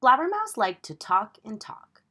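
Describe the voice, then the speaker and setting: A woman teacher reading a children's picture book aloud in an expressive, emphatic, instructive storytelling manner. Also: on the high side, measured pace, breathy edge.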